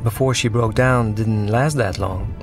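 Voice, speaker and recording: A man, a film director, giving commentary on his film in a halting way, searching for words. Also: on the low side, over music.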